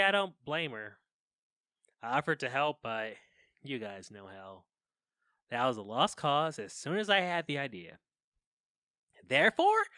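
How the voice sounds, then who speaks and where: A flustered young man confessing into his podcast mic in an audio drama. His bright voice leaps up and down as he rambles and hesitates, awkward and lovelorn. The sound is close and dry. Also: on the high side, no room sound, full character voice. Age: young adult.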